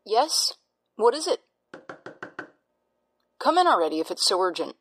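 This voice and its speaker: A woman playing a doctor in an audio drama, breathy, soothing and clinical, with a patronizing, unsettling edge. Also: medium pitch, big pitch swings, measured pace, slight background noise. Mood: impatient. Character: calm, smoothly reassuring doctor with an unsettling edge.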